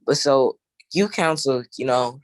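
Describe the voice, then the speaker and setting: A young man, president of a youth council, speaking casually on a video call in an easygoing, halting way. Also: mid-range voice, dry recording. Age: young adult. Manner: easygoing, halting, with many fillers and restarts.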